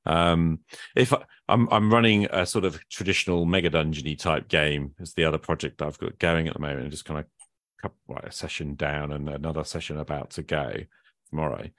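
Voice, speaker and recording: A man's voice: a bass voice, fairly even intonation, brisk, fairly bright tone, no echo, clean studio sound. A man hosting a casual, reflective conversation, often ending his points with little questions to the listener. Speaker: podcast host. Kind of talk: casual conversation about roleplaying games.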